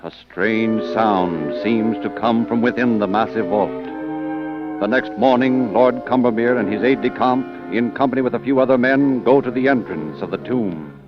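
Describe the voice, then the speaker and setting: A man narrating an old-time radio mystery from a script, dramatic and portentous, with weighty pauses. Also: lowish voice. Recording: muffled old radio recording. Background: prominent music.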